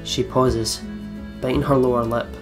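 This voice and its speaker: A man hosts a let's play and reads the story narration aloud. His voice sits at a medium pitch, with guitar music clearly behind it. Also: flat delivery, unhurried. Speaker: let's play host reading the game's narration. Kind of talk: scripted narration read aloud. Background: game music with guitar.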